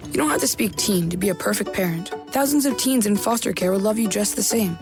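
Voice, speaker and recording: Mid-pitched female announcer with music. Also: fast talker. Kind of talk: scripted public service narration.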